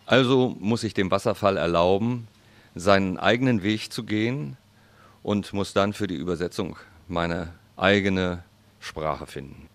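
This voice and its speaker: A man with a very deep voice interprets into German, slow and deliberate. The recording is dry and close, with no room sound. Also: no echo. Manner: slow, deliberate, careful phrasing.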